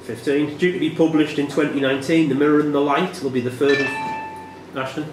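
A man reading out quiz questions as quiz master in a measured, deliberate way. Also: a little high, steady tone, music in the background. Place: indoor pub room. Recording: echoey pub room with some noise.

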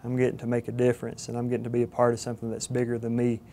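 A young man in his twenties with a Southern Georgia accent, earnest and halting with fillers, speaking in an interview. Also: medium pitch, monotone, warm tone, a little hiss or hum. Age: 20s. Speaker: cadet candidate. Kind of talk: interview testimonial. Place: studio with plain backdrop. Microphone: lapel mic.

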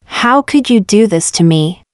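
A woman with a mid-range voice reads practice questions for a language lesson. The recording is dry and close-miked. Her reading is measured and clear. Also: mid-range voice, lively intonation, dry recording. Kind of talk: slow repeated example questions for learners.

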